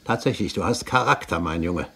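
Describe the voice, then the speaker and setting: An actor playing a scheming, domineering pirate chief in a radio play, persuasive and mocking with an undertone of threat. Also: male voice, deep voice, expressive, a little fast, vocal fry, pushed and bright, husky, exaggerated voice. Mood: coaxing. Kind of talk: 1970s German radio play acting.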